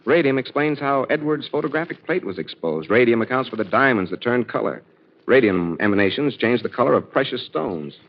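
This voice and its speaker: An actor playing a composed detective who explains a solved case in an assured, measured way, in 1940s radio acting style. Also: male voice, mid-range voice, animated. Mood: assured, explanatory. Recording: dull vintage 1940s radio recording.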